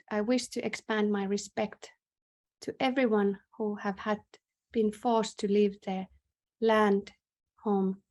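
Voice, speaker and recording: Woman, Finnish accent, mid-pitched voice, session chair, formal welcome, measured and hesitant delivery, video call. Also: a little mellow. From northern Finland. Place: indoors in a bright room, on a video call. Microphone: video call microphone.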